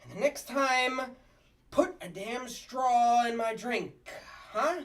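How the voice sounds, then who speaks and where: Woman doing a slow, grumpy puppet boss. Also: fairly high pitch, expressive, recorded from a distance. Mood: irritated, sarcastic. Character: grumpy, loudmouthed puppet boss, sarcastic and bossy. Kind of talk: sitcom table read.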